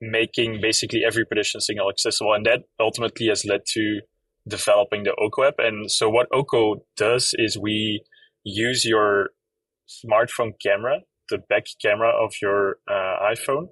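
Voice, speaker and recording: Flemish-accented Belgian man, low voice. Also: normal speed, husky, no room sound, recorded from a distance, low-quality recording. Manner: relaxed, many fillers, explains at length. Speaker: app company co-founder, guest. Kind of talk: casual interview.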